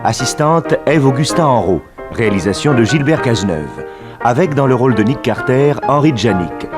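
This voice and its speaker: A man's voice: medium pitch, big pitch swings, normal speed, over music. A man reading closing credits as a formal 1960s French radio announcer. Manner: formal, list-like.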